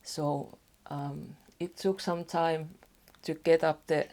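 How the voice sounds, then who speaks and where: Finnish woman doing a get ready with me at home, low voice, talks slow and soft, kinda rambly. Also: steady tone. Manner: soft-spoken, unhurried, rambling with small restarts. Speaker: beauty vlogger. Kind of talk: casual get-ready-with-me chat. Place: home apartment.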